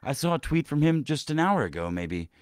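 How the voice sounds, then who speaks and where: A man's voice: on the high side, sing-song, brisk, a little mellow, clean tone, dry recording, studio quality. Rambling, profane male podcast host. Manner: rambling, profane, frequent restarts and trailing off. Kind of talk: casual profane commentary.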